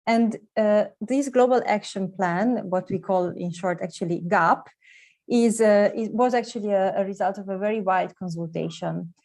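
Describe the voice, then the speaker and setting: A woman giving an unscripted explanation as a panelist, halting and often rewording herself as she goes. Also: medium pitch, fairly even intonation, a little mellow. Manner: halting, self-correcting, tags phrases with "no". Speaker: UN secretariat officer, panelist.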